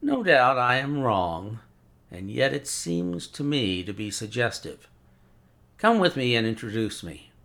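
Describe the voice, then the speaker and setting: A man voicing a sharp, confident male detective who reasons in a crisp, measured way. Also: mid-range voice, very animated, heavily acted. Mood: reasoning.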